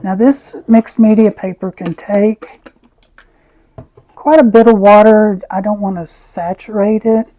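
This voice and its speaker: A woman with a raspy voice, who often clears her throat as she chats through an art tutorial. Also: mid-range voice, steady tone, dry recording. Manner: slow, soft-spoken, frequent throat clearing. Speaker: art channel host. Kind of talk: casual art tutorial chat.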